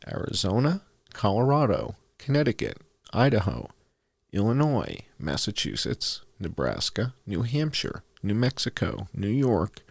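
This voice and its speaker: A man hosting a commentary, reading documents aloud in a deliberate, announcer-like way with dry, sardonic asides. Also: fairly low pitch, creaky, dry recording. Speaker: host presenting and reading documents.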